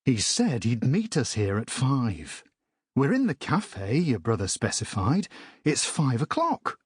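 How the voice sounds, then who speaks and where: A man's voice: mid-range voice, wildly swooping pitch, slow pace, slightly rough, no room sound, clean studio sound, voice pushed up, heavily acted. A man voicing a frustrated, irritable father in a children's audiobook, impatient and grumbling. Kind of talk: audiobook character voice.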